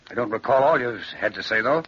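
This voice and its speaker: A man's voice: mid-range voice, animated, fast, husky. An actor playing a plain-spoken, wary rustic working man, folksy and blunt, in an old-time radio drama. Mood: skeptical. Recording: dull, hissy old radio recording.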